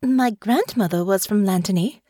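A woman's voice: mid-range voice, mellow, no echo, clean studio sound, voice pushed up, natural voice. A woman voicing an earnest young noblewoman who gets flustered and stumbles over her words. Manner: eager, halting, stumbling restarts.

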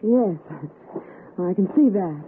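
An actress playing a young, fancy city wife, coaxing and fearful, with theatrical pleading in a 1960s radio suspense drama. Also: female voice, mid-range voice, animated, takes it slowly. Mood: weary, coaxing. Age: young adult. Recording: noisy, narrow-band vintage radio recording.

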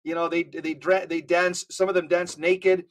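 A man with a high voice speaks as a guest on a casual podcast. He tells his stories in an emphatic, animated way. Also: moderate pace.

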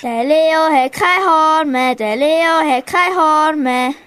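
Girl as a high-pitched, cheeky Swiss German chatterbox bird. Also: slow pace, background noise. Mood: gossipy. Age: teenager. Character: cheeky, gossipy parrot-like talking bird. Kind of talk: amateur school radio play.

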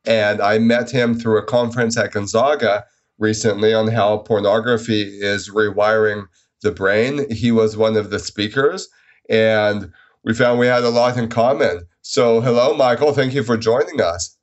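A man's voice: on the low side, fairly even intonation, normal speed. Podcast host guy with a cold, trips over words a bit, dull muffled audio. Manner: friendly, stumbles and repeats words. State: has a cold. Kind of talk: conversational podcast hosting.

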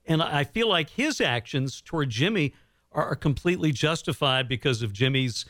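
A man's voice: fairly high pitch, lively intonation, normal speed, a bit bright, no room sound, studio quality. A man hosting an interview, asking conversational questions and offering his own comments. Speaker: radio interviewer, host.

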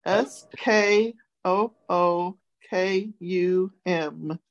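Slow, lower-voiced woman hosting a word game. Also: lo-fi sound. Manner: spells words out letter by letter. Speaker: quiz host giving obscure words. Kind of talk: casual word game chat.

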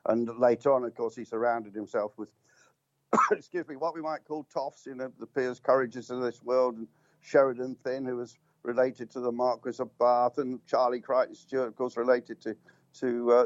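An older man reminisces on air, rambling through anecdotes and stumbling over restarts. His mid-pitched voice comes over a slightly muffled, dull line. The sound is dry, with no room around it. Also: level tone, normal speed, no room sound. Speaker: guest motorsport commentator. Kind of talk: reminiscing radio talk.

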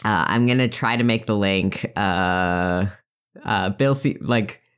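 A player at a tabletop game, hesitant and filling pauses. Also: sits low, clear, resonant tone. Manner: hesitant, laughing. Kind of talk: casual tabletop game chat.

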